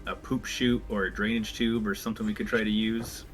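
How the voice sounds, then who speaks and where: A man's voice: on the low side, little pitch movement, fairly quick, faint music behind. A man playing a tabletop game, talking through his rolls and giving curt orders in character as a captain. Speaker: player.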